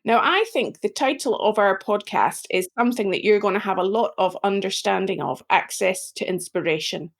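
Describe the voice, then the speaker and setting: A woman hosting a podcast, introducing her guest and asking gentle, open questions. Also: mid-range voice, moderate pace, gravelly, hardly any pauses. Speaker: podcast host. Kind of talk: interview hosting.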